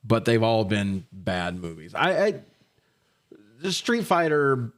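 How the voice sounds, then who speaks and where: A man hosting a casual film review podcast, recapping in an animated, profane way with frequent laughter. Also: fairly low pitch, slow pace. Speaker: podcast host reviewing films. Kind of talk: casual recap and banter between friends.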